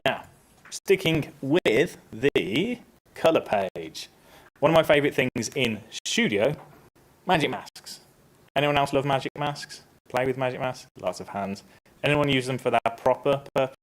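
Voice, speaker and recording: A man presenting a casual, upbeat software tutorial on a conference stage, stepping through each click. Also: medium pitch, expressive, measured pace. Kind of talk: live software tutorial talk.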